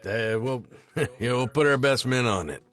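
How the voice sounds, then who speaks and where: Guy playing a smug scheming club man, halting casual delivery, mid pitch, music behind. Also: lively intonation, fairly bright tone, close to an everyday voice. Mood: smug, measured. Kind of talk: table read of a film script.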